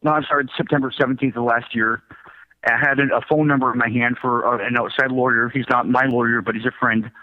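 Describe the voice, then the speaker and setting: A middle-aged man telling his own story as a radio interview guest, in a halting, self-correcting way. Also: mid-range voice, steady tone. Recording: thin phone-like line.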